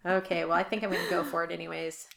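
A woman's voice: fairly low pitch, flat delivery, racing, gravelly, over a noisy crowd. A woman co-hosting a casual podcast chat, her voice scratchy from allergies, laughing easily as she talks. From California. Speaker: podcast co-host.

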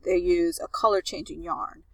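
A woman's voice: lowish voice, fairly even intonation, moderate pace, gravelly, darkish tone, no room sound. Chatty, enthusiastic woman podcast co-host. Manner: chatty, enthusiastic, trails off into fillers. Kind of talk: casual chat. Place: outdoors at a beach.

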